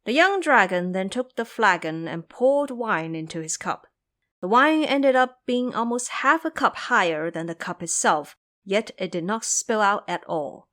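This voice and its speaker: A woman's voice: medium pitch, animated, measured pace, a bit bright. A woman narrating a scripted fantasy story in a dramatic storytelling style.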